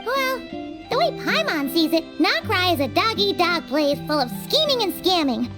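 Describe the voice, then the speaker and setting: A tiny floating fairy girl chirps in a very high, bouncy voice that leaps all over the scale. She is excitable and chatty, and cheerful music plays clearly behind her in this game scene. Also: moderate pace. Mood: musing. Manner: bubbly, exclamatory, refers to herself in third person. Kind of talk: video game voice acting.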